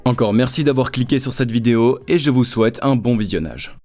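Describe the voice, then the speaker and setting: A man's voice: medium pitch, a little fast, a little mellow. A man who works as an amateur voice actor, narrating a scripted explainer in a chatty way, with explanatory asides. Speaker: amateur voice actor presenting own work. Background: background music.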